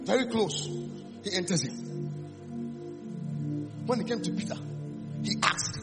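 Ghanaian preacher with a high, gravelly voice. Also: male voice, lively intonation, a little slow, loud music behind the voice. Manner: fiery call-and-response preaching, swings from hushed to shouting. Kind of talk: sermon.

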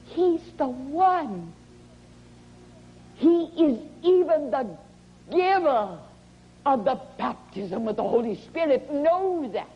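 A woman preaching a revivalist sermon in a dramatic, emphatic manner, drawing out words and dropping into breathy whispers, in a sanctuary. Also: sits high, very animated, takes it slowly, pauses often. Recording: dull, noisy lo-fi archival sermon recording.

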